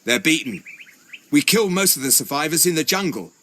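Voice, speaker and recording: An actor playing a gruff, greedy soldier sidekick in a video game cutscene, with an earthy, blunt manner. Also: male voice, a little high, big pitch swings, fairly quick, bright, forceful tone, slight background noise.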